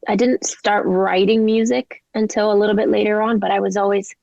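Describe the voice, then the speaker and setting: Young woman guest w a canadian-english mix accent, bit of vocal fry, rambly and chatty, dull dry sound. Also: medium pitch, flat delivery, brisk, darkish tone, no echo. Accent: mixed Canadian and English. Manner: chatty, rambling, lots of likes and ums. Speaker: singer-songwriter guest. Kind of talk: casual interview chat.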